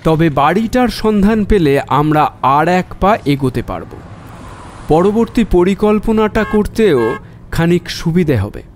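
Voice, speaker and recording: A man's voice: sits high, big pitch swings, measured pace, a little hiss or hum. A man acting a shrewd, courteous private detective in an audio drama, probing and decisive in his talk. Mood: measured reasoning.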